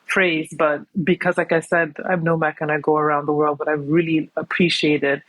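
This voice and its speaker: A woman's voice: deep voice, level tone, moderate pace, fairly bright tone, no echo, low-quality recording. A woman from New York, a podcast guest talking in a reflective, conversational way and often saying "you know". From New York City, United States. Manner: reflective, thoughtful, many "you know" fillers. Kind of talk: casual conversational interview.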